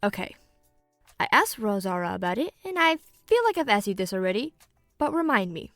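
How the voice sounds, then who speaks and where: A woman voicing a sensible, wry young illusionist student in an audio drama, measured and gently chiding. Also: medium pitch, sing-song, no room sound, clean studio sound, faint music behind. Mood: reasonable. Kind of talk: audio drama character banter.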